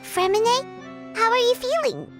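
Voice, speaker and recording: A woman voicing a tiny, chirpy, childlike fairy companion with a squeaky, excitable delivery in a game. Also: moderate pace, soft background music, exaggerated voice. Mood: concerned. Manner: squeaky, excitable, speaks of herself by name. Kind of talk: animated game dialogue.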